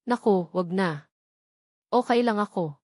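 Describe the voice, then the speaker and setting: A woman voicing a gentle, reserved young woman who is an older cousin, speaking softly and politely in an audio drama. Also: medium pitch, a little slow, no echo, studio quality, close to an everyday voice.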